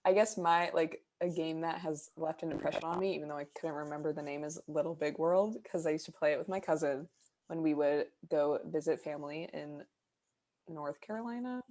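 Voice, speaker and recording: Low-voiced young woman, hesitant. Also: a little mellow, rough recording. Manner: hesitant, halting, many fillers. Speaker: podcast co-host. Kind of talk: casual chat. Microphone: video call.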